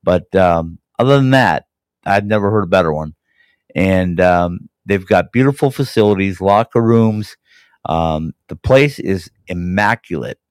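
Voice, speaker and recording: A man hosting a college hockey podcast, hoarse and raspy from a cold, talking enthusiastically and rambling with fillers and restarts. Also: low voice, lively intonation, measured pace, no echo. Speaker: podcast host. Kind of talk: casual sports chat.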